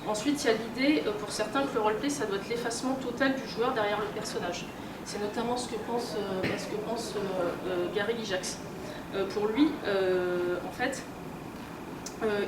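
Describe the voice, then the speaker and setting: Heard from off in an echoey room full of loud noise, a woman gives an informal talk at a medium pitch. Her delivery is colloquial and hesitant, working out her phrasing as she goes. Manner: hesitant, colloquial, thinking aloud. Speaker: conference speaker, researcher and editor. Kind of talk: informal lecture on role-playing games. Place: convention room, in front of an audience. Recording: echoey, very noisy room, distant mic.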